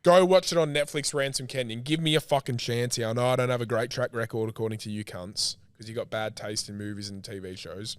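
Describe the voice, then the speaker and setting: A man's voice: mid-range voice, a little fast, few pauses, smooth. A man with an Australian accent co-hosting a podcast, chatting casually and swearing freely. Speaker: podcast host. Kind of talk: casual banter, frequent swearing.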